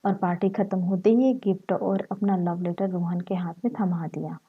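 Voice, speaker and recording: A woman with a slightly husky voice, narrating a short story. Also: lowish voice, steady tone, moderate pace, phone-quality sound.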